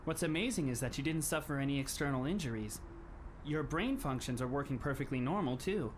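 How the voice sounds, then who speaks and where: A man in an anime fan dub playing a polite, composed teenage schoolboy who speaks in formal, measured phrases with a faintly wry touch. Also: medium pitch, lively intonation, slight background noise, natural voice. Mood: calm, grave.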